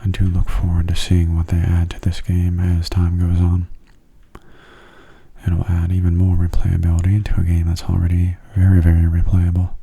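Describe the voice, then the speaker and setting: Very soft, husky low-voiced man, near whispering. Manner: calm, unhurried, understated. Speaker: gaming video host. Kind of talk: soft-spoken ASMR gameplay commentary.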